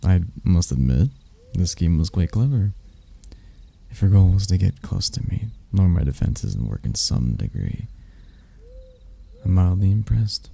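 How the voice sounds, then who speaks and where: A man voicing a seductive, smug demon in human form, smooth-talking, teasing and husky, in an intimate ASMR roleplay. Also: low voice, sing-song, measured pace, mellow, full character voice. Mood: amused, taunting. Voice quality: gravelly, husky.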